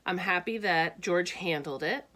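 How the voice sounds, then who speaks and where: A woman reading a children's storybook aloud with expressive, deliberate phrasing and casual asides. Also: on the low side.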